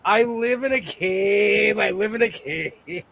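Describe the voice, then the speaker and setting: Male host, somewhat high voice, rambling self-deprecating chatter. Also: takes it slowly, vocal fry, fairly bright tone, slight background noise. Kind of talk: casual chat.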